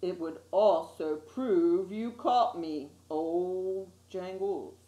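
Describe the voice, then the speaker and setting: A woman voicing a huge, wise old male fish from a children's storybook, speaking low and slowly in a solemn, soothing way. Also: dark tone, lo-fi sound. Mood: solemn, urging. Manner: slow, solemn, deliberate delivery. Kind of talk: children's storybook read aloud. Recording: roomy, echoey sound.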